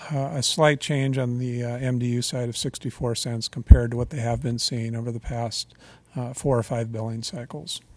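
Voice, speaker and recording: A man speaking as a utility regulator at a public meeting, measured and formal, with frequent fillers and restarts. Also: mid-range voice.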